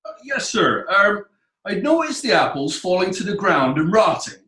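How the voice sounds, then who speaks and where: A nervous, deferential defendant, played by a man, answering questions with hesitant politeness. His voice is bright and lively, with a roomy echo. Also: high-pitched, normal speed, heavily acted. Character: nervous evasive male defendant, cider maker. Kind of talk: amateur radio drama. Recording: echoey room.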